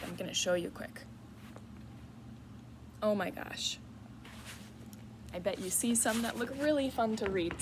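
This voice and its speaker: A woman with a fairly high, very animated voice speaks as a teacher reading aloud to young children. She is encouraging and often stops to ask questions. The recording is from a classroom with clear background noise. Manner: expressive, encouraging, asks questions of young listeners. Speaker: music teacher. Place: school classroom, in a reading corner.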